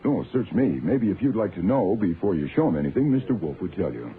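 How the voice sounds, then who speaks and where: An actor playing a breezy, self-assured private detective with a flippant, colloquial delivery in a radio drama. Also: male voice, sits low, big pitch swings, fast talker. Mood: brisk, persuasive. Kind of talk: hardboiled detective radio drama, narration and dialogue. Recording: tinny band-limited old radio, music behind.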